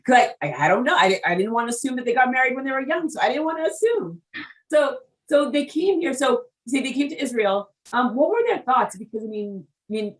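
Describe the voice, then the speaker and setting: A woman's voice: a little high, far from the mic, low-quality recording. Chatty woman host, a bit off-mic. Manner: chatty, quick, animated, interrupts with repeated words. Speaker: interview host. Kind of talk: casual conversational interview.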